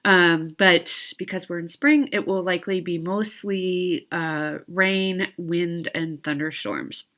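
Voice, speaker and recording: A woman's voice: fairly low pitch, steady tone, slow, fairly bright tone. A middle-aged woman chatting casually to viewers of her cross stitch vlog, a little stuffy from allergies, rambling with fillers and small laughs.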